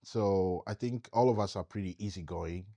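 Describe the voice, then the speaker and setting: A man's voice: low voice, moderate pace, dark tone, no echo. A young man from Italy co-hosting a podcast in an easygoing, informal, conversational way. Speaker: podcast co-host. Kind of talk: casual conversation.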